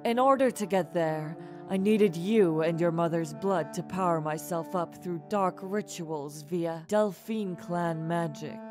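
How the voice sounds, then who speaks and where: Woman as a low, deliberate villainous scientist mother. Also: lightly acted. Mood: grave, explaining. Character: remorseful mad scientist mother, female sea creature. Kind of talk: dramatic comic dub voice acting. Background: faint music.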